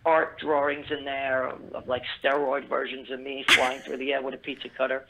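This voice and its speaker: A man's voice: mid-range voice, level tone, moderate pace, very noisy. A man as a guest musician, chatting in a rambling, upbeat way with many fillers and restarts, heard over a phone call. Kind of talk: casual interview chat. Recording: tinny phone line.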